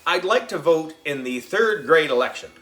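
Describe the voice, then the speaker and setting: A man voicing an excitable, cheeky third-grade boy in a children's story, exclaiming and emphatic. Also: fairly high pitch, moderate pace, voice pushed up. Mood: sly.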